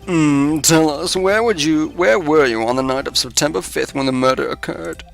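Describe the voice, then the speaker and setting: A man voicing a smug, sneering male prosecutor in a video game, deliberate and haughty. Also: on the high side, creaky. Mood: probing, cool. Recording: music under the voice.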